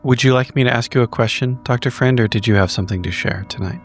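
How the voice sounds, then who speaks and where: A man's voice: medium pitch, fast, slight background noise, close to an everyday voice. A man playing a blunt, defiant patient in a horror role-playing game, speaking in a curt, sardonic and pointed way. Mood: pointed, challenging. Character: defiant, blunt male patient challenging his doctor. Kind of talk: improvised horror role-play.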